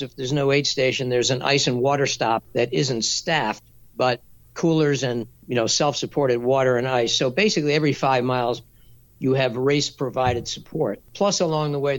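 A man answers interview questions in a steady, measured voice of middling pitch. He explains things practically and patiently, with the odd filler. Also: low-quality recording. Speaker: race director.

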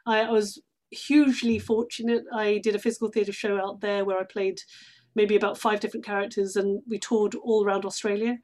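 A woman's voice: medium pitch, level tone, a little fast, a little mellow. A middle-aged woman with a London English accent, telling stories casually as an interview guest. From London, England. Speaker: interview guest, actress and theatre workshop director. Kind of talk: casual conversational storytelling.

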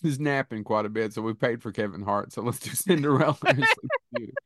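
Sarcastic man, mid-pitched, close dry recording, casual podcast banter. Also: fast talker, a bit bright, no room sound. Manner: sarcastic, wisecracking. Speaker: podcast co-host.

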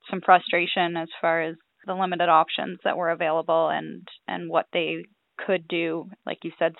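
A woman's voice: on the low side, gravelly, no room sound. A woman hosting a farming podcast, leading a guest interview in a friendly, conversational way. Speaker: podcast host. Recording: dry, tinny phone-like sound.

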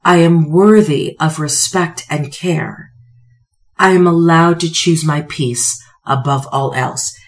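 Female motivational podcast host, forties, lower register, slightly hoarse, emphatic conversational delivery with deliberate pauses. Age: 40s. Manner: emphatic, pointed pauses, rhetorical questions. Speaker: podcast host, self-help coach. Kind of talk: motivational talk to listeners, conversational.

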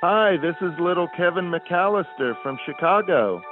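Man as little boy, high voice, earnest, plucky, prank call, tinny phone line. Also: expressive, moderate pace. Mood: earnest, worried. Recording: tinny phone line, faint music behind.

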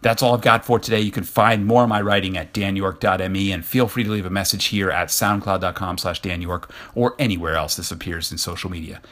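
Low-pitched American man hosting a podcast. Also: fairly even intonation, fairly quick, few pauses, a bit bright. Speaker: podcast host. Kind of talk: informal solo podcast announcement.

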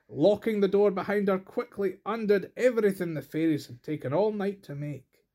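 A male storyteller narrates a traditional tale from a script. His voice is pitched a little high for a man, and he reads it as a storybook narration. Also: mellow.

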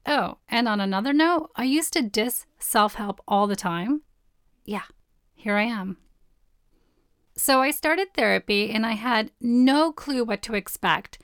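A woman in her forties with a hoarse, partly lost voice, hosting a personal storytelling podcast in a candid, blunt way. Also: fairly high pitch, animated, measured pace, no echo, clean studio sound. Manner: candid, blunt, swears casually. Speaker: podcast host.

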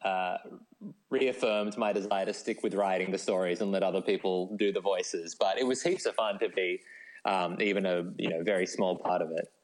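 Australian man, interview guest. Low voice, informal, self-deprecating, easygoing delivery. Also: fairly even intonation, moderate pace, low-quality recording. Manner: laid-back, self-deprecating. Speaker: guest, novelist. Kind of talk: casual interview chat.

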